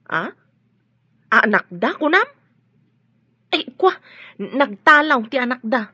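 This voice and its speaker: Casting: woman as scatterbrained, nosy servant, sharp high voice with swooping delivery, audio drama. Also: sing-song, takes it slowly, husky, no echo, dull audio, exaggerated voice. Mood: nosy, blunt. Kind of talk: Ilocano audio drama acting.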